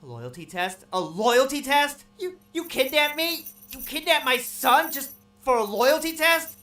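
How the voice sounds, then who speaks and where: A man's voice: extremely high pitch, big pitch swings, unhurried, sharp tone, soft background music, full character voice. A man playing a frightened government analyst and father who pleads with his captor, then turns bitter. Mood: furious. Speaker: protagonist and narrator. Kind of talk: hobby audio drama acting.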